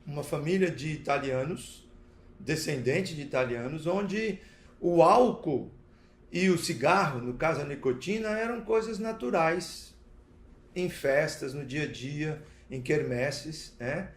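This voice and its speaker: A man giving a personal testimony, speaking deliberately in short phrases with pauses and little checking tags. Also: fairly high pitch, expressive, a little hiss or hum. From interior of Paraná, southern Brazil. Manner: deliberate, pausing between short phrases, seeks agreement with tags. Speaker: recovery talk speaker. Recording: echoey, roomy sound.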